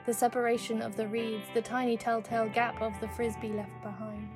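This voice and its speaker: A woman with a fairly high voice reads a spoken word poem steadily, keeping her pitch almost flat. Music plays clearly beneath her. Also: a little fast. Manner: even, steady recital. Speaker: poetry reader. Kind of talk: spoken word poetry reading. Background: soft music.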